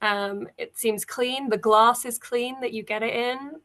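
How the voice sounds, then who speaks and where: A woman improvising narration as game master of a tabletop game, in a halting, conversational way. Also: on the high side, fairly even intonation, a bit bright.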